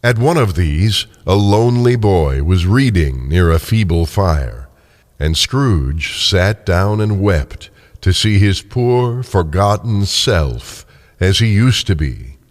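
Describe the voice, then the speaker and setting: An older man narrating a scripted story dramatically, with expressive, deliberate phrasing. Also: sits low.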